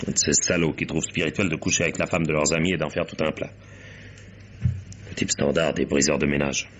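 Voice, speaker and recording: An actor playing a brusque, imperious businessman and wronged husband in a French radio mystery, with a husky voice. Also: a bass voice, fairly even intonation, a little fast, background noise. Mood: bitter. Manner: brusque, domineering, blunt. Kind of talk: French detective radio drama acting.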